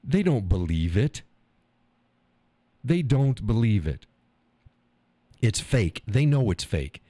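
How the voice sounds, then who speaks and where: A man's voice: fairly low pitch, sing-song, slow pace, dark tone, no room sound. A man hosting a live stream show, talking bluntly and profanely. He hammers points by repeating phrases and reads out viewer chat comments.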